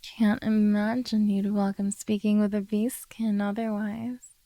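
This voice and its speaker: A woman playing a possessive, coaxing beastkin monster girl in a soft, teasing ASMR roleplay. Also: medium pitch, little pitch movement, measured pace, no room sound, close to an everyday voice. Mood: calm, probing.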